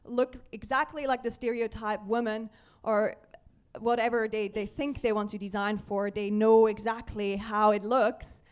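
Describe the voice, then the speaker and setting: A woman's voice: fairly high pitch, fairly even intonation, measured pace, smooth. A woman on a conference panel who speaks earnestly and repeats herself as she searches for words.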